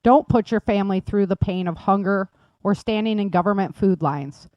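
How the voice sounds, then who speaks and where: A woman's voice: medium pitch, normal speed, no room sound, muffled recording. Dry, close-miked woman reading promos. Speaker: series creator and host. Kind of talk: scripted sponsor and promo reading.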